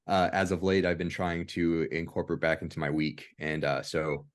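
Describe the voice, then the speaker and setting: A man hosting a casual discussion, speaking in hesitant, halting phrases with many pauses. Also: low voice, flat delivery. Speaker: discussion host.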